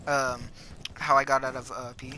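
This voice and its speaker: A young man chatting casually while gaming, telling stories in a halting, rambling, sweary way. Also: mid-range voice, level tone, over a noisy crowd, poor audio. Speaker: player chatting in a multiplayer game. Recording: music behind the voice. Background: music.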